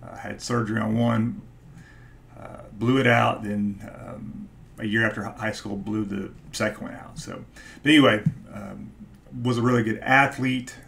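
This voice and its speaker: A man in his forties with a stutter, talking casually to camera in a halting way, searching for his words. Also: on the low side, steady tone, vocal fry, fairly bright tone, a little hiss or hum. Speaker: YouTube host, stock investor. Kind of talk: casual vlog talk to camera. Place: small home office, indoors.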